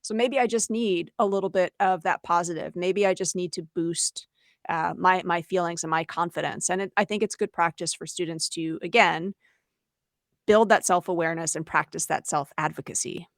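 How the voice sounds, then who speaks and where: A woman's voice: on the low side, steady tone, moderate pace, dry recording, studio quality. A woman co-hosting an educators' webinar, talking conversationally in an explanatory way, voicing example lines and checking in with the listener. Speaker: podcast co-host and educator. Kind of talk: conversational webinar talk for teachers.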